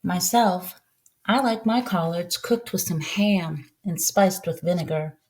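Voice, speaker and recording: A woman voicing a kindly, proud old Southern farm woman who tells her story in a folksy, unhurried way for a storybook. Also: fairly low pitch, a little mellow, close to an everyday voice. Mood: amused, fond.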